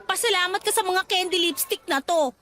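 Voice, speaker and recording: A woman's voice: very high-pitched, fairly quick, pushed and bright. A woman acting an emotive, principled young Filipina in a radio drama, testy but easily delighted.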